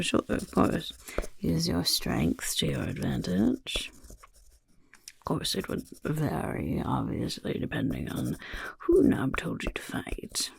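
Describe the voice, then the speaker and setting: Lady doing a scheming goblin guy in asmr, husky whispers, voice swoops up and down a lot, refers to himself by name. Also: deep voice, full character voice. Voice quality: gravelly. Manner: whispery, speaks of himself in third person. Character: scheming male goblin recruiting for a chaos horde. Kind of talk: soft-spoken ASMR roleplay.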